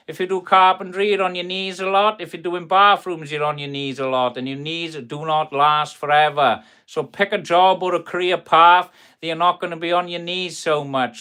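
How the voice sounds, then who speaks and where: A man's voice: on the high side, sharp tone, clear, resonant tone. A man from South Wales with a South Welsh accent, a carpenter chatting on a live stream from his workshop, rambling in a friendly way as he answers viewers' comments. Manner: friendly, rambling, calls viewers "boys" and "buddy".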